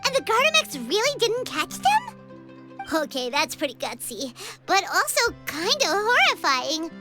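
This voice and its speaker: Little game fairy, extremely high squeaky child voice, excitable, skeptical, exclamatory, loud music behind. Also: female voice, a little slow, exaggerated voice. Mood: indignant, astonished. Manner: exclamatory, incredulous. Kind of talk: video game voice acting.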